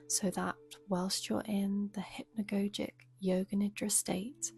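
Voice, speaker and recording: A woman guiding a meditation as a yoga nidra teacher, speaking softly and soothingly with a breathy, unhurried delivery. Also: mid-range voice. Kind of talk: guided meditation.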